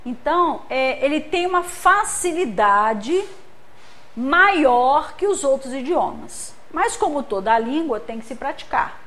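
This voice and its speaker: A woman from Brazil gives a lecture with a high, light voice. She explains things patiently, uses homely examples and keeps checking that her listeners are following. Her tone is that of a practiced speaker addressing a gathered audience. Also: animated, measured pace, fairly bright tone. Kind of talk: spiritist center lecture.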